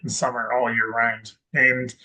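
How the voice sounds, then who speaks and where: A man from Scotland talks through his story as an interview guest. He wanders through his points, restarting sentences and filling gaps with ums. His voice sits at an ordinary middle pitch. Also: level tone, measured pace, pushed and bright. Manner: rambling, self-correcting with many ums and restarts. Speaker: guest investor. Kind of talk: casual interview chat.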